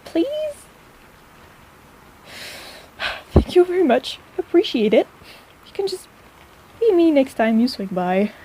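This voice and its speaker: A woman performs a kindly apothecary shopkeeper in a personal-attention audio drama. Her voice sits a little high yet is very mellow and warm. She rambles haltingly, teases fondly and flusters easily, over faint background noise. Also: wildly swooping pitch, exaggerated voice, rough recording. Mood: flustered. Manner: chatty and rambling, halting, gently teasing. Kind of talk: intimate personal-attention audio roleplay, speaking to the listener.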